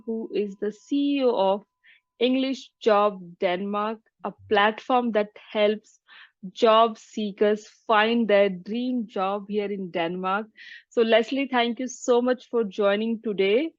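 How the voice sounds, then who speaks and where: A woman's voice: mid-range voice, fairly even intonation, slow pace, no echo, dull audio. A woman from India, hosting an interview in a friendly manner with some hesitant fillers. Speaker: YouTube host, interviewer.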